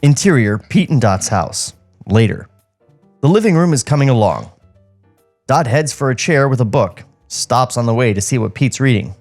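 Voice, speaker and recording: A man's voice: medium pitch, lively intonation, normal speed, a little mellow, soft background music. A man hosting a podcast with casual, mocking commentary and script reading. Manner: irreverent, mocking. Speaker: podcast host.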